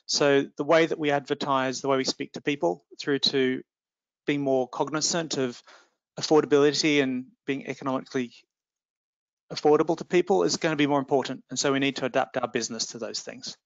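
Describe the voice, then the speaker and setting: A man's voice: mid-range voice, fairly even intonation, a little fast, creaky, no echo. A man in his thirties giving an informal answer as a corporate panelist on a video call. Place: indoor room, on a video call. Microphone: wired earphone mic. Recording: dull, close video-call audio.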